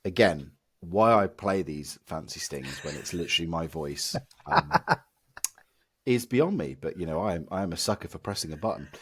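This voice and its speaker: A low-pitched man with a hoarse voice he is losing to illness. He rants with exasperated emphasis on a close, dry podcast mic. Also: lively intonation, normal speed, dry recording. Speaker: podcast co-host. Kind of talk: casual podcast chat.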